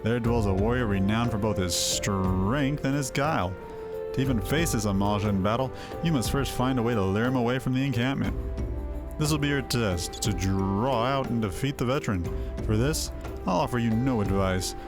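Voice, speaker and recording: Guy voicing a proud stern old-hand hunter chief in a video game, measured n haughty, mid pitch, music under it. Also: natural voice. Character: proud, stern male desert tribe chief, veteran hunter.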